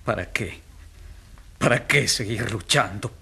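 An actor playing a defeated, apathetic man from the slums, raspy and listless, in a radio drama. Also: on the low side, a little slow, sharp tone, heavily acted. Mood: resigned, hopeless. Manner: listless, resigned. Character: defeated, apathetic poor male slum dweller. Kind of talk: Spanish-language radio drama acting.

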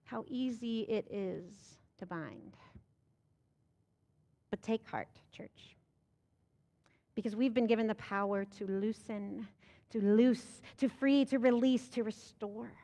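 Young American woman pastor, high voice, emphatic sermon delivery at a pulpit mic. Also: takes it slowly, pauses often, mellow, big-room sound. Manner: rhetorical questions, emphatic rising delivery. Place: church sanctuary. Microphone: pulpit microphone.

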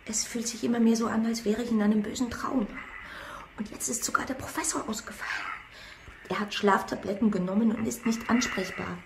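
A woman's voice: a little high, steady tone, brisk, vocal fry. An actress playing an anxious, frightened young servant woman in a radio play. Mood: fearful. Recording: roomy sound with background noise.

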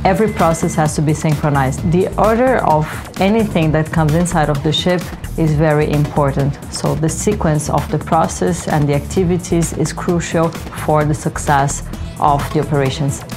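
Brief: low-pitched woman from Brazil, consultant in interview, enthusiastic, music under her. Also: normal speed. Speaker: senior project manager. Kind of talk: documentary interview.

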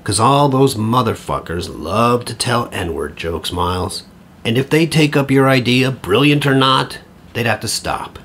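An awkward middle-aged man in an audio play, eager to please. His speech is halting and earnest, with a very lively pitch. There is clear ambient noise behind him. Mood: earnest, persuading. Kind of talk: naturalistic audio drama acting.

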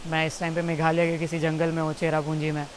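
Young Indian comic, twenties, awkward and self-mocking, swearing, talking to camera outside, distant mic, faint hiss. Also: male voice, a little high, completely flat delivery, fast. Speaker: stand-up comedian. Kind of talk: rambling outtakes to camera, then stand-up set. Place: outdoors by a jungle stream.

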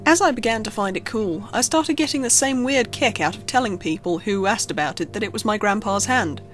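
A woman's voice: medium pitch, animated, brisk. A woman reading a scripted horror story as a narrator, telling it expressively. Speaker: creepypasta narrator. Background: background music.

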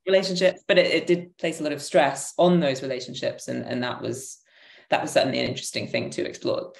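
A woman with a very low voice speaks thoughtfully and conversationally, feeling her way through each answer. She sounds like she is on a video call from an echoey room at home. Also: fast, gravelly. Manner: hesitant, thoughtful, self-correcting. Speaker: interview guest, theatre maker. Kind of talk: reflective conversational interview answers. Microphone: computer microphone on a video call. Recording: roomy, echoing video-call audio.

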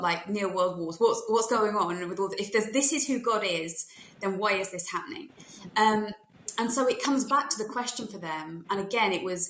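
A woman's voice: mid-range voice, fairly bright tone. A young adult woman, a youth worker, speaking earnestly and thoughtfully on a video call panel. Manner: earnest, thoughtful, recounts conversations. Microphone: video call webcam. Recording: echoey room with faint noise.

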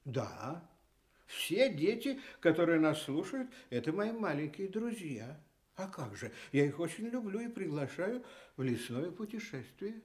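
A man's voice: on the high side, measured pace. An actor playing a kindly storyteller on a Soviet children's radio show, speaking lyrically and expressively to his young listeners. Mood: warm surprise. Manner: expressive, lyrical, addresses child as dear friend. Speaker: storyteller host.

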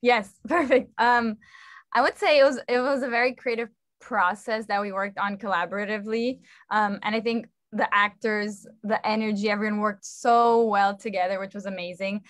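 A woman's voice: mid-range voice, steady tone, normal speed, fairly bright tone, dry recording. Woman director explaining, close dry sound. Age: young adult. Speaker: theatre director. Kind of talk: conversational explanation on a panel. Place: indoor room on a video call. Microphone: video call microphone.

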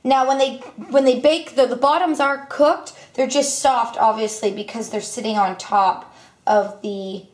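A young woman casually walking through a home recipe at her stove, talking with lots of ums and quick self-corrections. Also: on the high side, steady tone. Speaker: cooking vlogger. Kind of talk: casual cooking demonstration. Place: home kitchen. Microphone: camera mic, filming over the stove. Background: clinking pots and utensils.

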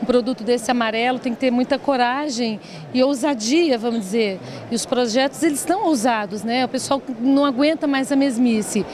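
A woman's voice: a little high, fairly quick, a little mellow, a little hiss or hum. A Brazilian woman in her forties explaining her design work in an interview, as a company director. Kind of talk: promotional trade fair interview. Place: open stand in a large indoor exhibition hall. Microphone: handheld reporter microphone held by interviewer. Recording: busy trade fair crowd behind. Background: crowd chatter of a trade fair.

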